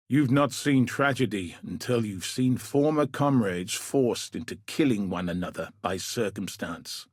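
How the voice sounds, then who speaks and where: An actor playing a weary, battle-scarred veteran commander in a video game, speaking in a measured, somber, dry and plain-spoken way with a husky, rough edge. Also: male voice, mid-range voice, dry recording. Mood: somber, regretful. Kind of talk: video game character dialogue.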